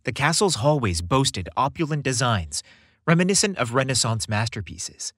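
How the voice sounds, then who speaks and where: Male narrator for story podcast. Animated read, dry studio-tight sound. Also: mid-range voice, normal speed, breathy edge, dry recording.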